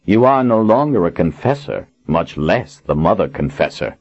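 Brief: male reader as a domineering, menacing lord, low and breathy, slow threats. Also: big pitch swings, a little mellow, heavily acted. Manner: cold, commanding, menacing. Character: arrogant, cruel usurping lord, domineering man. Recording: dull lo-fi audiobook recording.

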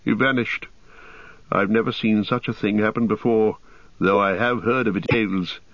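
A man voicing a grim, troubled male warrior who answers slowly and evasively, for a storybook. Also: fairly low pitch, fairly even intonation, a bit bright, no echo, highs cut off. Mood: guarded. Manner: slow, evasive, sombre.

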